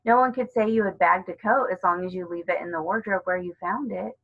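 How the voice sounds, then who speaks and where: A woman's voice: fairly low pitch, fairly quick, narrow, tinny audio. A woman voicing a hearty, old-fashioned eldest schoolboy with an eager streak in a storybook reading. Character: decent, bossy eldest schoolboy brother, eager adventurer.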